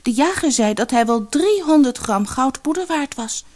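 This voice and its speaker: A woman's voice: high-pitched, animated, normal speed, a little mellow, voice pushed up. A woman voicing a naive, kind-hearted, eager boy in a fairy tale, earnest and a little bashful. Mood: meek.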